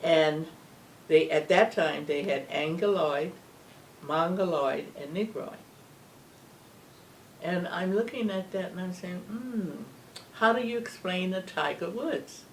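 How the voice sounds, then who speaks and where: An older woman speaking as an interview guest, measured and emphatic, often asking rhetorical questions. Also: lowish voice. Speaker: guest, former legislator. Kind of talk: earnest interview talk. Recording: distant mic with faint background hum.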